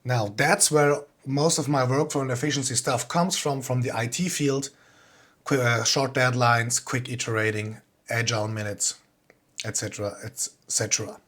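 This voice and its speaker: A man's voice: medium pitch, fairly even intonation, moderate pace, a bit bright. Plain-spoken man with a Swiss accent. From Switzerland. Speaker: composer giving a talk. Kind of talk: informal online presentation.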